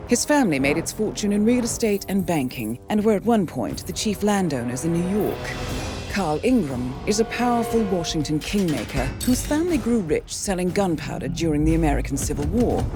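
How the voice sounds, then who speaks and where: An actress playing a composed female intelligence handler, delivering crisp mission briefings. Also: on the low side. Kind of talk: video game cutscene voice acting. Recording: game cutscene with music behind.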